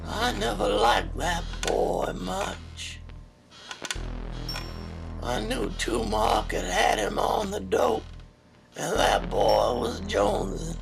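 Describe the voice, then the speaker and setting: A man voicing a bitter, foul-mouthed old grandmother with a gravelly, rustic drawl in a dark comic audio drama. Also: fairly high pitch, full character voice. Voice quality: creaky, gravelly. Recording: loud music under the voice.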